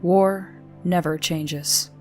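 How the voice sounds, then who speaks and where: A woman performing grave, measured narration as the solemn storyteller of a post-apocalyptic audio drama. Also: fairly low pitch, steady tone. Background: music.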